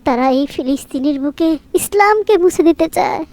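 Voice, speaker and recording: A woman voicing a worried, devout village mother in a cartoon, with a gravelly voice and tender, pleading speech. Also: high-pitched, animated, fairly quick, a little mellow.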